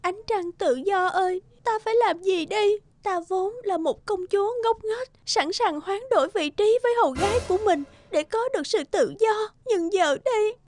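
A woman voicing a gentle, wistful young princess in a fairy-tale cartoon, with a soft, sighing delivery. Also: extremely high pitch, moderate pace, hardly any pauses.

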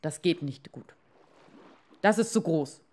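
A woman acts out an anxious fisherman husband from a storybook. He is henpecked and pleading, with slow, hesitant speech. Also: deep voice, expressive, darkish tone, pitched below the performer's own voice, exaggerated voice. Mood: anxious, reluctant.

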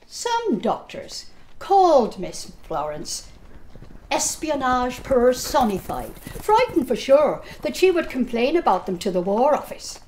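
A woman's voice: high voice, very animated, takes it slowly, a little mellow, a little hiss or hum, exaggerated voice, poor audio. A woman performing a devoted, plain-spoken Irish soldier telling his story earnestly in a dramatic poetry monologue. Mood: scornful, loyal.

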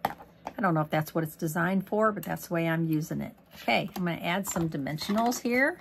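A hoarse woman talks casually through a stamping tutorial at her desk, rambling with asides as she works. Her voice sits a bit low and has a gravelly edge. A faint hiss runs under the recording. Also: brisk. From Missouri, USA. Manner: chatty, rambling, lots of ums and asides. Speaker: craft demonstrator. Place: craft desk indoors.

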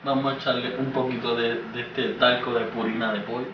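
A man's voice: medium pitch, level tone, brisk, dull audio, background noise. Brief: joking young Puerto Rican man, mid-range voice, heard from a far camera in an echoey room. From Puerto Rico. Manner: joking, playful, teasing. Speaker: boyfriend guest. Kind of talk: casual chat. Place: small indoor room against a plain wall. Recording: roomy, distant, music behind.

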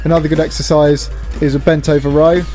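This is a middle-aged man giving an instructional voice-over. His voice sits a little high for a man and has a very mellow, rounded tone. He explains things plainly and practically, with some music behind him. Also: little pitch movement, a little fast. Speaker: presenter demonstrating gym exercises.

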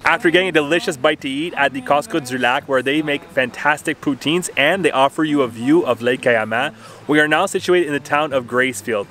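A man's voice: on the high side, a bit bright. A young man filming himself on a forest hiking trail, narrating a travel vlog in an upbeat, joking way. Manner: upbeat, joking about the stairs. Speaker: travel vlogger. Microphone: camera held at arm's length.

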